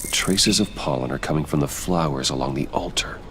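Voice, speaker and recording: An actor playing a stern, controlled masked vigilante detective in a video game, speaking in a grave, measured, terse way. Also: male voice, rumbling low, a bit bright, a little hiss or hum. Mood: focused. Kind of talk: dramatic video game acting.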